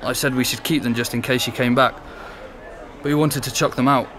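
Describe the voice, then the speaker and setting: An actor playing a chatty, laughing young ex-flatmate. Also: male voice, mid-range voice, brisk, close to an everyday voice. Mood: surprised. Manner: chatty, laughs while telling. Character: chatty young man, ex-flatmate of a missing student. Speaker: former flatmate. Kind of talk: conversational audio drama acting.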